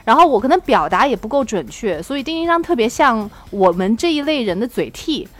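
A woman's voice: fairly high pitch, very animated, a little fast, a bit bright. A woman hosting a casual chat, talking in an effusive, eager way. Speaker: podcast host.

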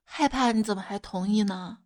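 A woman's voice: medium pitch, normal speed, darkish tone, no room sound, close to an everyday voice. A woman playing a playful, teasing mother who coaxes a stubborn child in a comic bedtime sketch. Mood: fond, amused. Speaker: storyteller and performer. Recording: music behind the voice.

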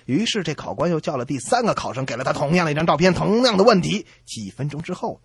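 Male comedy radio host; highish, very animated voice, bantering joke-teller. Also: fast talker, husky, no room sound.

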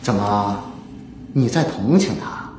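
An actor playing a callous, sardonic prison escort guard in a radio drama. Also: male voice, lowish voice, animated, takes it slowly, darkish tone. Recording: echoey, noisy ambience.

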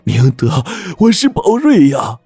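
A man voicing an emotional, wealthy old man who talks in a high, gushing, overly warm way. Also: animated, normal speed, no room sound, soft background music. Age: older.

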